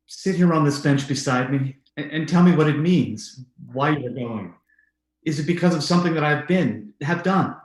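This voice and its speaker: A man plays a lovesick, solicitous suitor in a radio melodrama, his voice slightly high for a man. He speaks with earnest, romantic tenderness in an echoey room. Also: normal speed, lightly acted. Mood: concerned. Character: tender, lovesick man devoted to a forbidden love. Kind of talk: old-time radio drama acting.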